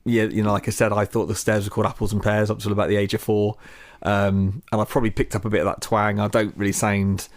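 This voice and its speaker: Shropshire guy w a bit of cockney twang, lowish voice, dry sound, rambles and restarts. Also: little pitch movement, quick pace, no echo. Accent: Shropshire with a slight Cockney twang. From West Midlands, England. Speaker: podcast guest, DJ and producer. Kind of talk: casual chat.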